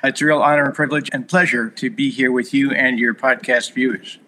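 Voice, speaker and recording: A man's voice: medium pitch, fairly even intonation, brisk, sharp tone, low-quality recording. A man in his sixties whose first language was Hungarian, a guest telling long stories in a podcast interview with many fillers. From United States. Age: 60s. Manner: earnest, expansive storyteller with many fillers.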